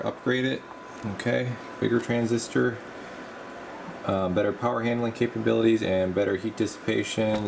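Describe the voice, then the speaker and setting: Male technician, casual and halting, creaky voice, explaining bench work over noticeable background hum. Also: fairly low pitch. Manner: informal, halting, thinking aloud while working. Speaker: radio repair technician presenting his work. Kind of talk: casual hands-on repair walkthrough. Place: indoor electronics workbench.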